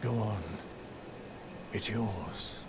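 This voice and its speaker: An actor playing a cunning, scornful aging sage in a fantasy game, theatrical and musing, dropping at times into hushed phrases. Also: male voice, fairly low pitch, drawn-out words, noisy background. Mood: intrigued, musing. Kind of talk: scripted fantasy game dialogue.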